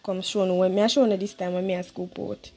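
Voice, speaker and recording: A woman's voice: medium pitch, fairly even intonation, fast, darkish tone. A woman with a Jamaican accent chatting informally as she vlogs about cooking at home, slipping into Patois. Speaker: home vlogger. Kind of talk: casual cooking vlog chat. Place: home kitchen, indoors. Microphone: handheld phone behind the camera. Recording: noisy handheld home recording with clicks.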